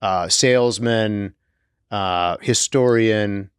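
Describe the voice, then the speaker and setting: A man's voice: on the low side, extremely slow, clear, resonant tone, dry recording, clean studio sound. A middle-aged man co-hosting a podcast in casual, jokey conversation. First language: Turkish. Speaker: podcast co-host. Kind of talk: casual chat.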